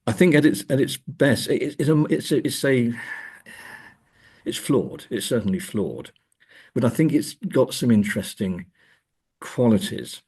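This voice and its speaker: A man's voice: medium pitch, lively intonation, a little slow, long pauses, a little mellow, husky. A man speaking as an academic guest in an interview, discussing in a conversational, searching way and stressing key words. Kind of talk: conversational literary and film discussion.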